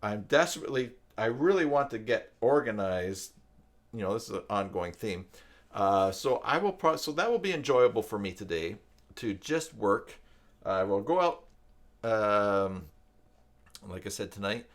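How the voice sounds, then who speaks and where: A man's voice: on the low side, lively intonation, a little slow. A man in his fifties hosting a live stream, talking in a casual, rambling, conversational way. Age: 50s. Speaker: live stream host and tutorial maker. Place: downstairs room at home.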